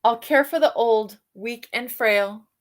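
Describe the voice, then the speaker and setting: A woman reading a picture book aloud to children, expressive, with soft musing questions for young listeners. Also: fairly high pitch, slow. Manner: expressive reading, alternating with softer musing asides and hums. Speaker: read-aloud storyteller for children.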